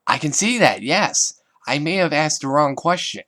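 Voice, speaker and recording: Man acting a refined teenage girl, raised pitch, theatrical, genteel, fussy, storybook character. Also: animated, normal speed, sharp tone. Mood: concerned. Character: refined, chatty, affectedly elegant teenage girl.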